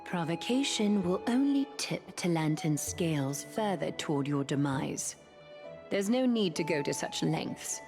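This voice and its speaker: A woman's voice: on the low side, animated, a little slow. An actress playing a coolly threatening woman leader in a video game, formal, controlled and commanding. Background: background music.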